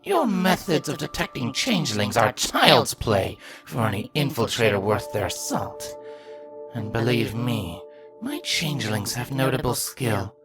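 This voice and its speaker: Man acting a vengeful grieving monster queen, storybook fantasy, theatrical menace, very animated pitch, slow pace. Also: music in the background. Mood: smug, taunting. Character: spiteful, grieving changeling queen, vengeful hysterical mother.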